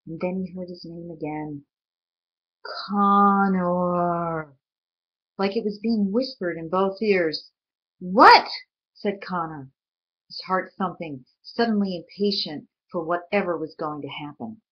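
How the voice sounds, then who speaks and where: A woman reading a storybook aloud in an expressive, deliberate way, with dramatic pauses. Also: lowish voice, slightly rough. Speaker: reader. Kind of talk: storybook read aloud, expressive scripted narration. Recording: dull lo-fi recording.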